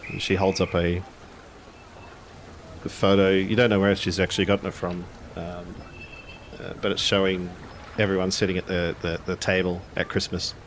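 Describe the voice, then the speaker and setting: A man narrating a tabletop game as game master, improvising in a halting way as if thinking aloud. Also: low voice, flat delivery, a little hiss or hum.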